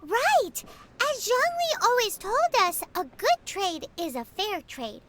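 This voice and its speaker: A woman voicing a tiny, childlike fairy sidekick in a video game, squeaky, eager and earnest. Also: wildly swooping pitch, takes it slowly, fairly bright tone, slight background noise, full character voice. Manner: speaks of herself in third person, eager. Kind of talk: video game cutscene voice acting.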